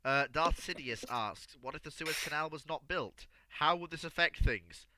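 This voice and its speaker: Sharp, forceful man debating insistently. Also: a little high, moderate pace, dry recording. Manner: insistent, repeats words and stammers when cutting in. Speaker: host of a live history discussion show. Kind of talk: unscripted animated group debate.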